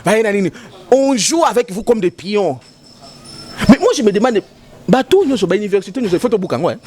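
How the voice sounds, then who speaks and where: Lively, high-pitched man arguing politics. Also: fairly quick. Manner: emphatic, repetitive, switching between French and Lingala. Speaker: political commentator. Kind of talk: heated political debate.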